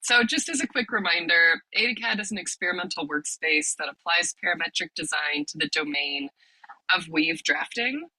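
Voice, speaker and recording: Bright, crisp-voiced woman teaching online. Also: lowish voice, animated, normal speed, no echo, poor audio. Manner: friendly, explanatory, with frequent ums. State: slightly hoarse from a cold. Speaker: workshop leader, lab director. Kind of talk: online workshop presentation.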